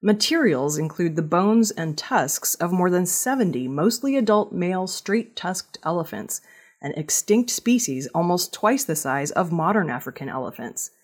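A woman reading scripted news narration as a newsreader, with a slightly husky voice. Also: fairly low pitch, animated, moderate pace, a little mellow. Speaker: news reader.

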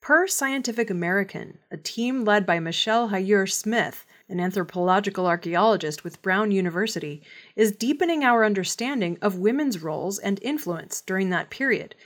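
Woman reading the news, mid-range voice, kinda formal and measured, scripted. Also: medium pitch. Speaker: news reader.

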